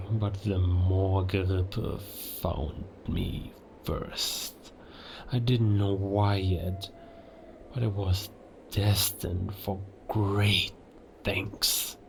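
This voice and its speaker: A man playing a sinister, grandiose beast king in a cinematic audio drama, speaking in a deliberate, taunting way. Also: low voice, steady tone, full character voice. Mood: grandiose, hushed. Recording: noisy ambience behind the voice.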